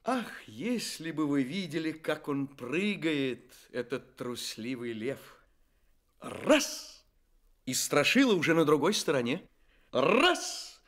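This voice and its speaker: A man's voice: fairly high pitch, sing-song, slow pace, a bit bright, exaggerated voice. A man playing an engaging fairy-tale narrator, addressing listeners expressively in a children's radio play. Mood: excited.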